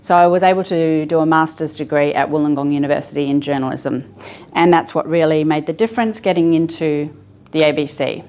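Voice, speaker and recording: Australian woman, middle-aged, low-pitched voice, author talk, chatty with ums, library room. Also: fairly quick, a little mellow. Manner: chatty, with frequent ums and restarts. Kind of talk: relaxed public talk to an audience.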